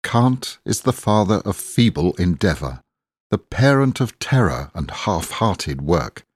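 A man reading inspirational verse aloud in a deliberate, earnest, exhorting manner. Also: deep voice, big pitch swings, breathy edge, dry recording, clean studio sound. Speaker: poetry reader. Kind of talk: measured inspirational poetry recitation.

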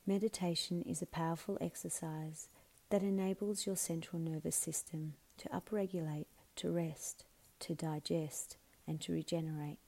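A woman leading a scripted guided meditation in a soothing, measured way, her phrases often softening into breathiness. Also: sits low, low-quality recording. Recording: clearly audible background hum and noise.

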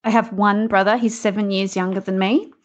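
A woman's voice: medium pitch, fairly even intonation, brisk, lo-fi sound. A woman in her forties with an Australian accent, a guest author talking earnestly and upbeat about her life in a casual interview.

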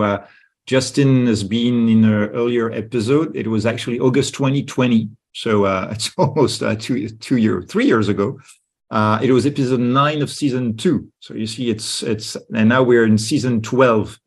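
A man's voice: on the low side, breathy edge. A man hosting a podcast interview, often repeating words as he frames his questions. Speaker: podcast host.

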